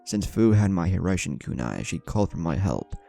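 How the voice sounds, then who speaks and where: Guy doing a blunt defiant young ninja, low creaky voice, storybook reading over music. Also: very animated, a little fast, mellow, exaggerated voice. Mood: casual, frank. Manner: blunt, informal. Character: brash young male ninja, defiant and loyal. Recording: background music under voice.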